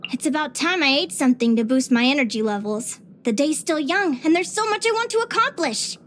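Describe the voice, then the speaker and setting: Game role: bubbly young naturalist adventurer girl, high-pitched, expressive, curious and sweet. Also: slight background noise, full character voice. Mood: bright, energetic. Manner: expressive, chirpy, with sighs and giggles. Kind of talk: scripted video game character voice lines.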